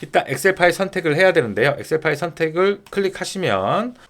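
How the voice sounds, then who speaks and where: A man giving an informal screen-share software tutorial, going step by step and often posing questions that he then answers himself. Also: fairly high pitch, sing-song, quick pace.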